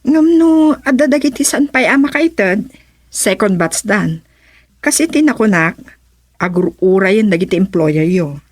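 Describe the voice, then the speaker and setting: A woman's voice: medium pitch, lively intonation, measured pace, no echo. A woman playing a businesslike madam who recruits villagers for overseas jobs in an Ilocano radio comedy drama, giving instructions with an Ilocano accent. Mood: pressing, businesslike. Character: brisk madam overseas job recruiter pressing villagers for payment.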